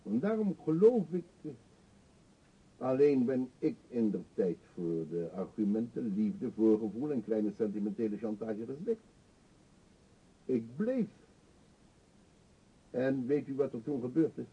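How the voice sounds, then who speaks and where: An actor playing an eccentric, talkative older beggar in a radio drama, pushy and wry, confiding theatrically. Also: male voice, medium pitch, sing-song, unhurried, long pauses, husky, heavily acted. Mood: confiding, emphatic. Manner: chatty, insistent, theatrically confiding. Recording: dull, muffled old radio play recording.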